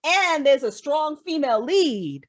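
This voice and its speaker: A woman guest, a writer, talking casually on a podcast in an animated, opinionated, sweary way. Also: sits high, measured pace. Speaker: guest, writer and creator of an audio drama.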